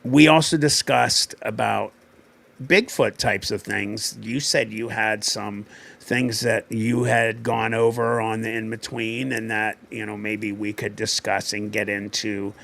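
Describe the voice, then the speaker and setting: Low gravelly guy hosting a stream, real slow, lots of ums and restarts. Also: steady tone, fairly bright tone. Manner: halting, full of fillers and restarts while looking things up. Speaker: host. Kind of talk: casual livestream conversation.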